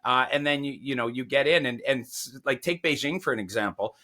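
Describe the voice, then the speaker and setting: A man with a bright, tense-edged voice hosts a podcast interview. He talks in a chatty, enthusiastic way and rambles into practical tips between questions. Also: mid-range voice, brisk. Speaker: podcast host.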